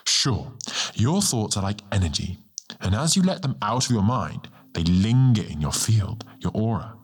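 Man voicing a calm, low, wise star guardian. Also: slightly rough, exaggerated voice. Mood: casual, explaining. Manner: serene, measured, lightly teasing mentor. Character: calm, ancient male star guardian, wise and lightly amused. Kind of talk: audiobook character dialogue. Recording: music bed under the voice.

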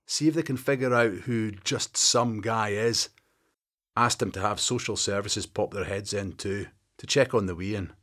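A man voicing a wry, teasing Scottish detective past forty with a deadpan humour. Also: fairly low pitch, normal speed.

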